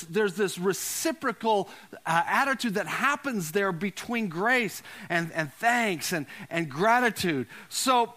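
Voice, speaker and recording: A man's voice: sits high, pushed and bright. A man preaching a conversational sermon as a pastor, repeating phrases for emphasis and putting questions to his listeners.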